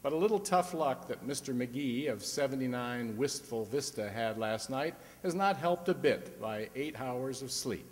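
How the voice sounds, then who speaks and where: A man announcing in a polished, old-time radio style, as if reading a scripted sales pitch. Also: animated, moderate pace, few pauses. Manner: polished, presentational. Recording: echoey hall, long reverb.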